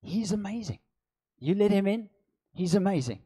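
A man's voice: high voice, animated, moderate pace, warm tone, husky. A middle-aged man preaching a sermon, emphatic, repeating short phrases and drawing out the stressed words, speaking into a handheld microphone. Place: church hall with a seated congregation. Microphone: handheld microphone on stage.

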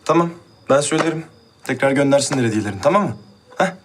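A man's voice: medium pitch, expressive, fast talker, slight background noise, lightly acted. An actor playing a stubborn, defensive young man in a TV drama, curt, colloquial and dismissive. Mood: irritated. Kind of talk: naturalistic TV drama acting.

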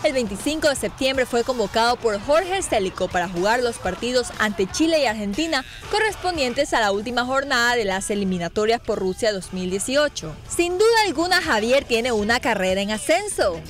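A woman's voice: on the high side, expressive, moderate pace, hardly any pauses, soft background music. A woman reading a scripted sports profile voice-over as an announcer.

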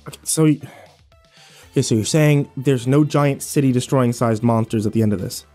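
A man acts out a worn-out young survivor in a horror audio drama. His voice is mid-pitched and mellow, with an informal, sardonic delivery. Faint music runs underneath. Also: moderate pace, lightly acted. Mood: deadpan, exasperated. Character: weary, sarcastic young man, survivor of a nightmare. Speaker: actor and narrator. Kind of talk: first-person audio drama narration and dialogue.

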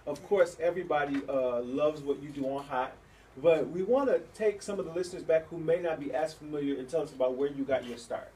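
An animated man hosts a radio show, gushing over his guests and laughing a lot. He sits a little back from the microphone, so his voice sounds distant. A clear layer of noise runs under him. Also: on the high side, fairly quick. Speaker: radio host. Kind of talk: enthusiastic interview hosting. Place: small radio studio. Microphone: studio boom mic. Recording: distant mic with audible noise.

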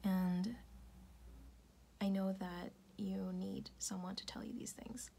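A woman speaking in a soft, breathy, often unvoiced whisper, tenderly reassuring the listener in an intimate ASMR roleplay. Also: mid-range voice, flat delivery, takes it slowly, a little hiss or hum. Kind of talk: ASMR personal-attention roleplay, comforting affirmations. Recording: music playing behind the voice. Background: music.

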